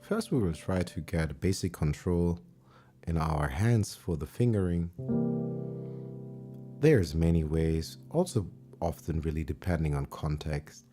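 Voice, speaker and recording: A man with German as his first language talks through a piano lesson at an unhurried pace, his voice sitting a little low. He is relaxed and stops now and then to hunt for the right English word. Loud piano playing carries on under his speech. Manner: relaxed, searching for words, sings and counts along. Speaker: piano teacher. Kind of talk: livestream music lesson.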